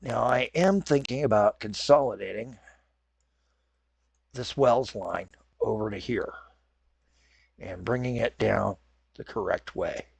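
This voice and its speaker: Low gravelly man with a head cold. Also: takes it slowly, pauses often, no room sound. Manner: rambling, self-correcting, apologetic. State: raw throat. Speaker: gaming channel host. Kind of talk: casual let's-play commentary.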